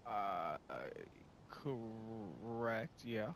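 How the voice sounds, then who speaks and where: A man's voice: on the low side, very slow, dry recording. A man chatting casually in a roleplay, rambling with lots of fillers. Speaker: roleplay player.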